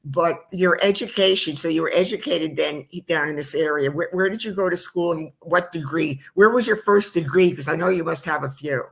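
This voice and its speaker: A woman's voice: low voice, normal speed, creaky, hardly any pauses, breathy edge, low-quality recording. A woman in her seventies hosting an interview, asking rambling questions with many restarts. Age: 70s. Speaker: host.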